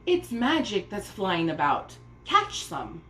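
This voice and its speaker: A woman's voice: mid-range voice, big pitch swings, slow, fairly bright tone, roomy sound, soft background music. A woman voicing a cruel stepmother in a storybook, bossy and scornful in manner. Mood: sly whispering. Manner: commanding, scoffing, mock-sweet.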